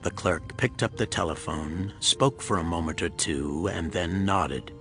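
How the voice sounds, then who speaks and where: A man giving scripted narration of a pulp thriller audiobook in a husky voice. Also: low voice, unhurried, a bit bright, faint music behind.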